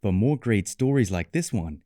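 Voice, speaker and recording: A man with an American accent reading a scripted storybook tale for English learners in clearly phrased, measured storytelling. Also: fairly low pitch, expressive, warm tone, dry recording, clean studio sound. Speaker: story narrator.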